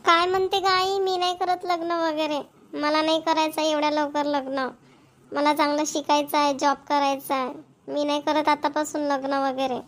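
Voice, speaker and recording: A woman's voice: sits high, level tone, moderate pace, lightly acted. A woman voicing a carefree, stubborn young college student, with a playful, protesting manner.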